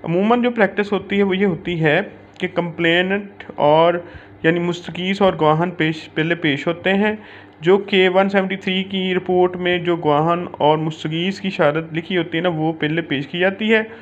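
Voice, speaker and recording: A man's voice: sits high, steady tone, normal speed. A man with a Pakistani accent giving an informal explanatory law lecture, dropping in English legal terms and frequent filler phrases. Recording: dull lo-fi audio, clear background noise.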